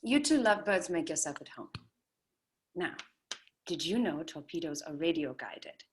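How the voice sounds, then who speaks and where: An actress playing a gracious, self-assured and flirtatious glamorous inventor in a screenplay read. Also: female voice, sits low, sing-song, normal speed, slight background noise. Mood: playful, confident.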